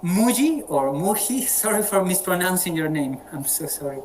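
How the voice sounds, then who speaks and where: A man's voice: high voice, lively intonation, darkish tone, a little hiss or hum, poor audio. Colombian guy hosting an english practice call, friendly, keeps repeating words, spanish accent. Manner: friendly, halting with frequent word repeats. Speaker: meeting moderator. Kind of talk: casual online group chat. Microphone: video call.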